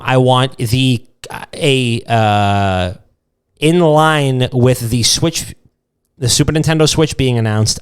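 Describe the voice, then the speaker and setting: A man's voice: medium pitch, clear, resonant tone. A man hosting a casual gaming podcast, joking irreverently and swearing freely as he makes comic demands. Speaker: podcast host. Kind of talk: casual comedic chat about gaming.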